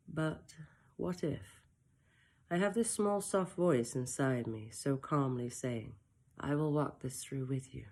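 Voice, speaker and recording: Soft-spoken woman reading her own story. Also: a bass voice. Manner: soft, emotional and reflective, with brief self-mocking asides. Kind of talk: first-person storybook reading.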